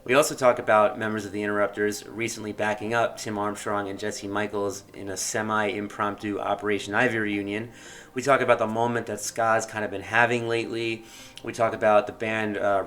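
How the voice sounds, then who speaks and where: A man's voice: lowish voice, a bit bright. Man reading a podcast intro. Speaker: podcast host.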